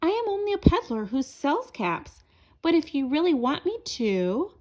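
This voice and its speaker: A woman's voice: high-pitched, big pitch swings, moderate pace, a little mellow. A woman voicing a humble, earnest male cap peddler in a storybook read-aloud. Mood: hesitant, shy.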